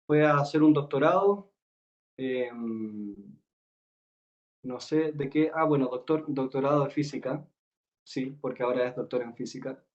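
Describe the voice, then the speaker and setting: A man hosts an online talk over a video call and introduces his guest. His voice sits a little high, and he talks hesitantly, correcting himself often. The audio is dull and muffled. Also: mellow. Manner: hesitant, self-correcting, many fillers. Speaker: host and event organizer.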